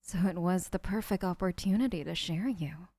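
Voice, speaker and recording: An adoring young woman who gushes over the person she helped abduct, as a female voice actor plays her. Her voice is mellow and slightly low, soft and often whispered, in a dry, close recording for an audio roleplay. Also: normal speed, no room sound. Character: adoring obsessive young woman kidnapper, gushing.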